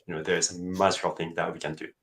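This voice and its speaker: A man's voice: sits low, flat delivery, fast talker, fairly bright tone. A middle-aged man from Japan with a Japanese accent, chatting as a guest over a video call, self-joking and hesitant with fillers. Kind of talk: casual interview chat.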